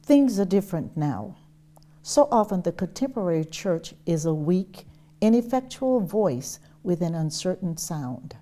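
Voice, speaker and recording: Female reader, warm mellow tone, fairly low, for a historic text. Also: animated, a little slow. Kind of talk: public reading of a historic letter.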